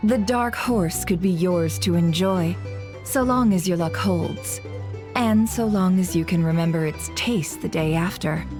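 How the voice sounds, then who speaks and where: Woman voicing a formal hostess running a drinking game, slow and smooth. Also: lowish voice, natural voice. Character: poised female host of a drinking game. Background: game background music.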